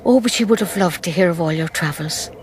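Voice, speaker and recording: A woman's voice: lowish voice, brisk, close to an everyday voice. An actress playing a wistful older grandmother recalling her sister, in a radio drama with background noise. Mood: reflective, sad.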